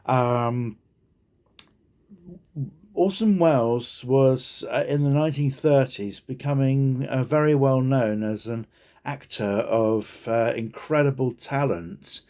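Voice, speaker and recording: A man giving an informal unscripted talk, haltingly, with many ums and pauses. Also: mid-range voice, mellow. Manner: halting, hesitant, heavily punctuated with ums and pauses. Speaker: speaker giving personal reflections.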